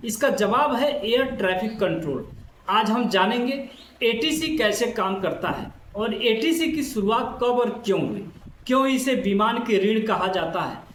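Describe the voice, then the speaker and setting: A man with a notably high voice delivers scripted explainer narration, speaking straight to the listener as he lays things out. The recording has a clear roomy echo, with faint noise underneath. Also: lively intonation, measured pace, a bit bright. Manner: addresses listeners directly, explanatory. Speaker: narrator of an educational explainer video.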